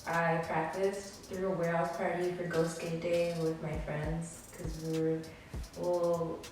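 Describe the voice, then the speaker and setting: A young woman from the DC area, a guest DJ and stylist, chatting casually in a relaxed, slangy way with lots of fillers. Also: on the low side, measured pace, soft background music. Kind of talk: casual podcast interview. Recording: echoey room, distant mic, faint hiss.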